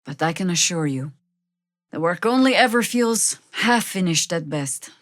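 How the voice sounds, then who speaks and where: An actress playing a seasoned, middle-aged druid woman in a video game, a wry and stern resistance fighter who speaks with measured, commanding dryness. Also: on the low side, lively intonation, dry recording, studio quality. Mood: earnest, resolute. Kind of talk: video game character dialogue, dramatic acting.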